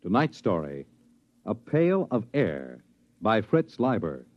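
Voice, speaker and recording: A man announcing a science fiction radio show in a booming, theatrical style. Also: wildly swooping pitch, slow. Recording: dull 1950s radio with music.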